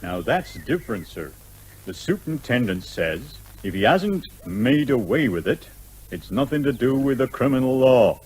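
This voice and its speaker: An actor playing a patient, deferential village constable with a respectful, matter-of-fact way of talking in a comic radio play. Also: male voice, mid-range voice, sing-song, normal speed, a little mellow, slightly rough, low-quality recording. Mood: stolid, deferential. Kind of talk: comic radio drama acting.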